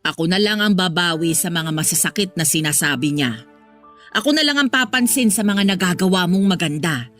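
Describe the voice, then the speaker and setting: A woman with a Filipino accent playing a patient, gently firm middle-aged mother in a radio drama. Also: fairly low pitch, expressive, brisk, faint music behind. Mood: soothing. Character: patient, protective middle-aged mother soothing her daughter.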